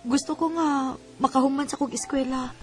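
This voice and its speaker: A woman's voice: high-pitched, fairly even intonation, moderate pace, natural voice. A woman playing a shy, coy teenage schoolgirl in a radio drama, with a Cebuano Filipino accent. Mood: coy, reluctant. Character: shy teenage schoolgirl politely refusing a suitor. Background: music.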